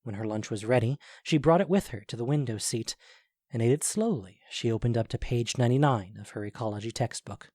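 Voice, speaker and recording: A man's voice: medium pitch, fairly quick, dark tone. A man narrating a scripted story as the narrator of an audio drama.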